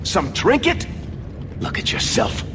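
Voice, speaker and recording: Impassioned dwarf in a vast hall. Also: male voice, high-pitched, huge pitch swings, takes it slowly, noisy background, exaggerated voice. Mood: hurt, accusing. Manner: impassioned, rhetorical. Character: indignant dwarven younger brother, bold adventurer. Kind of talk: dramatic video game voice acting. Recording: long hall reverb, game music behind.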